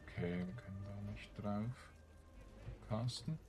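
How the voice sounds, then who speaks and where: A man giving casual gameplay commentary, thinking aloud in short, halting phrases. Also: on the low side. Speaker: let's play streamer.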